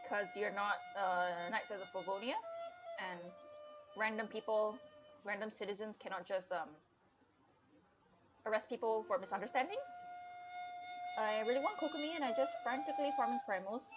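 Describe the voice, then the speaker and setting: A woman's voice: mid-range voice, moderate pace, long pauses, highs cut off. Chatty woman streamer over game music. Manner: chatty, reacts aloud to game and chat. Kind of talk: casual live game commentary.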